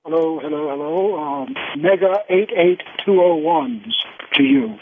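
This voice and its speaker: A man with a fairly high voice phones in to a talk radio show. He muses casually, with halting pauses. The line is thin and narrow, like an old telephone. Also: creaky, a little hiss or hum. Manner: musing, halting. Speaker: call-in listener. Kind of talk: casual radio call-in chat. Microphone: phone call.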